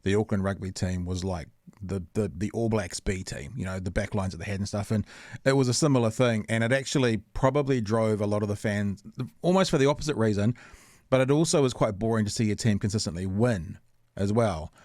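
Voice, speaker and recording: A man's voice: lowish voice, brisk, darkish tone, no room sound, clean studio sound. A man from New Zealand with a Kiwi accent hosting a casual podcast interview, chatty and winding in long sentences. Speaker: podcast host.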